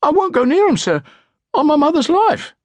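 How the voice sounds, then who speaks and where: Squawky cowed bully boy, far higher pitch, whining, protesting, street urchin, historical audiobook. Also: sing-song, normal speed, darkish tone, no echo. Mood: frightened, pleading.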